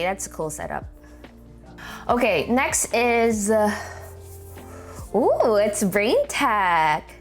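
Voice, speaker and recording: Upbeat filipino lady host thinking out loud, mid pitch, soft music under. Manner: chatty, upbeat, thinks aloud. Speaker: host reviewing viewer gaming setups. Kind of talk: casual commentary.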